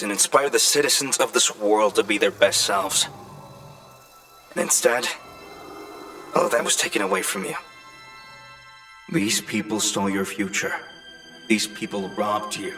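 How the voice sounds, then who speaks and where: A man playing an earnest inventor and leader in a comic dub, delivering a grave, measured, pleading message. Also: mid-range voice, big pitch swings, vocal fry, sharp tone, heavily acted. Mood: solemn, impassioned. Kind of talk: dramatic comic dub acting. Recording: voice over background music. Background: music.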